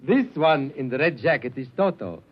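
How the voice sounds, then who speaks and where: A man's voice: medium pitch, big pitch swings, normal speed, loud music behind the voice, close to an everyday voice. An actor playing a grandiose, mysterious male doll maker in a 1950s radio drama, formal and boastful, with odd foreign-sounding diction. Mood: mysterious welcome. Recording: muffled vintage radio recording.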